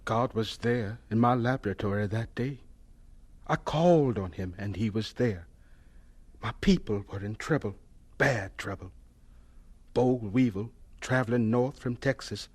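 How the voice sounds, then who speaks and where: An actor playing a devout, humble elderly scientist in a radio drama, husky and deliberate, absorbed in talking with God. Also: male voice, mid-range voice, darkish tone, lightly acted. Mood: earnest storytelling.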